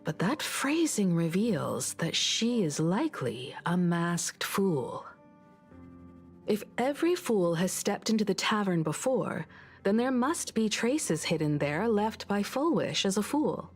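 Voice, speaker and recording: A woman voicing an analytical, deliberate sleuth in a fantasy video game, reasoning things out aloud. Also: mid-range voice, sing-song, faint music behind. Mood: pensive. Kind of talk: video game story voice acting.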